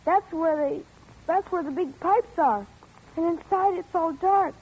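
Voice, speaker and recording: A woman's voice: unhurried, a little mellow. A woman voicing a frightened, grieving young boy in an old-time radio drama, pleading and accusing. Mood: uneasy. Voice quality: high childlike voice. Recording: dull lo-fi radio recording.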